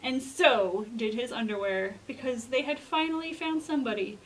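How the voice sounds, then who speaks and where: A woman's voice: fairly high pitch, moderate pace, slight background noise, poor audio. A young woman working as a children's librarian, speaking theatrically and emphatically to young listeners. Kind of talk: dramatic storybook reading and chatty explaining to children. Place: children's library room, indoors.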